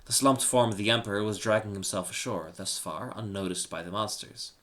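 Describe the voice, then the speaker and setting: A man's voice: lowish voice, fairly quick, fairly bright tone. A man reading a humorous short story aloud in a dramatic scripted narration. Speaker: story reader.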